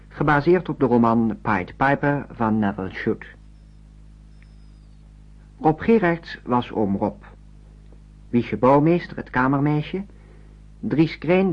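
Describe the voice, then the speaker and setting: A man working as a radio announcer, reading formally from a script. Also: medium pitch. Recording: dull old radio recording.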